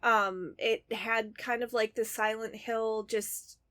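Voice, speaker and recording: A woman co-hosting a podcast, chatting casually and gushing excitedly about things she loves. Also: fairly high pitch, slow pace. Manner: gushing, excitable fan talk. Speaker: podcast co-host. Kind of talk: casual chat.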